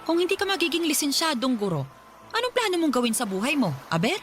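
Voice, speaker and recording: A woman's voice: high-pitched, big pitch swings, quick pace, soft background music. A woman playing a stern, nagging widowed mother in a radio drama, scolding and lecturing her daughter.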